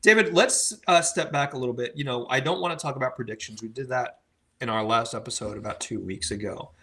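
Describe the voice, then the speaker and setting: A man hosting a crypto panel, slightly hoarse and speaking in a conversational, steering way. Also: mid-range voice, fairly quick, bright, forceful tone. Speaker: host. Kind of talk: panel discussion.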